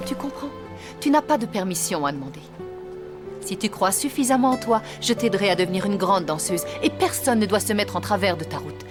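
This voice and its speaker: An actress playing an exacting but kindly ballet teacher in a cartoon, speaking in a formal, precise, theatrical way. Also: female voice, medium pitch, expressive, fairly quick, music in the background, lightly acted. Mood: gentle, encouraging. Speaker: voice actor. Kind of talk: French animated series dubbing.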